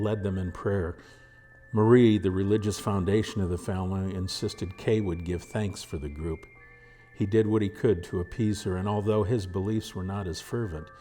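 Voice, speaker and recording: A man reading aloud his own frontier story as a steady narrator. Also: deep voice. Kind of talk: audiobook narration.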